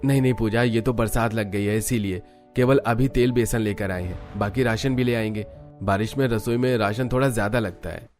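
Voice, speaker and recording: A man's voice: fairly low pitch, fairly even intonation, a little fast, darkish tone, natural voice. Practical young family man acted by a man, music behind. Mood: matter-of-fact.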